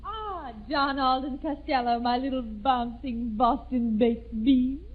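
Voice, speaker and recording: High-pitched coy radio maiden. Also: female voice, slow. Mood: coy, flirtatious. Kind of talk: 1940s radio comedy acting. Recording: tinny, band-limited old radio recording.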